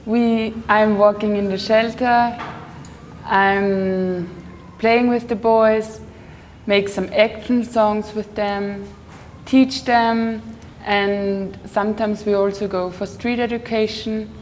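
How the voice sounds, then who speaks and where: Slow-speaking young Austrian woman volunteer. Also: medium pitch, flat delivery. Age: young adult. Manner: simple halting phrases. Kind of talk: on-camera interview. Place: tiled indoor room. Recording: hall reverb, faint noise.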